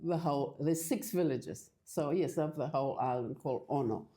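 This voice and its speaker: An older woman from Fiji, talking in a halting, hesitant way as a guest in a casual church interview. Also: low voice, mellow. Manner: hesitant, halting with many restarts.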